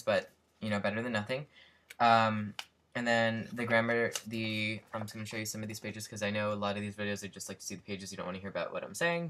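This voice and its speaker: Bright-voiced young man, chatty and rambling. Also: mid-range voice. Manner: chatty, rambling with many fillers and restarts. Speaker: language learning vlogger reviewing a book. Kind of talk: casual unscripted review. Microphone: built-in computer webcam mic.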